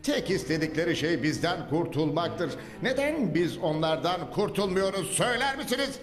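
A scheming bald cartoon villain lectures his dirt-creature army with grandiose contempt. His voice sits very high. It rings out in a long, echoey reverb under music. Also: sing-song, normal speed. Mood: commanding. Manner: grandiose, scornful speeches to his troops. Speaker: cartoon dub voice actor.

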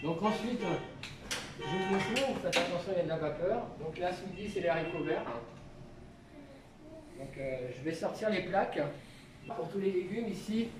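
A man in his fifties, a school canteen cook, calmly explaining his work to children with plenty of fillers, recorded on a camera nearby in a kitchen. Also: high voice, moderate pace, long pauses, very noisy. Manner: plain, patient, with many fillers. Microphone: camera held by children nearby.

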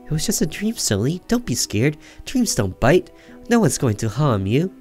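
A man's voice: on the high side, very animated, normal speed, darkish tone, faint music behind, pitched above the performer's own voice. A man voicing an earnest boy, a frightened but protective older brother, in a horror visual novel. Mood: soothing.

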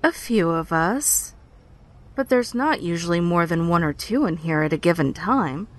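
A woman's voice: fairly low pitch, animated, normal speed, close to an everyday voice. A woman playing a friendly, skeptical park ranger in a naturalistic audio drama, casual and politely inquisitive. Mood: friendly, puzzled.

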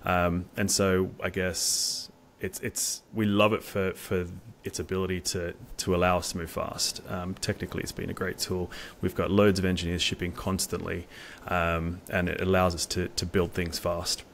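A man's voice: sits low, flat delivery, normal speed, vocal fry, a bit bright, slight background noise. A middle-aged man talking as an engineer in an informal technical interview on a video call, often repeating words. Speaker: staff software engineer, interview guest. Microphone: video call, wireless earbuds.

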